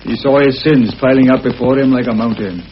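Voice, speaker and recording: An edgy male conspirator, played by a radio actor, guarded and quick to apologise or correct himself. His medium-pitched voice comes through a lo-fi, faintly hissing radio play recording. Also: close to an everyday voice. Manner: nervous, apologetic, self-correcting. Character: edgy, wary male conspirator, former prisoner of war. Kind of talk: British radio drama acting. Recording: dull, hissy old radio drama recording.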